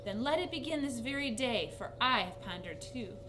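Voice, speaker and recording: A woman voicing a proud, sly old man in a children's story, pompous and booming. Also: normal speed, recorded from a distance, lightly acted. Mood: sly, confident. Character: proud, sly, blustering old man, red-faced namer. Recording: noisy recording with steady hum.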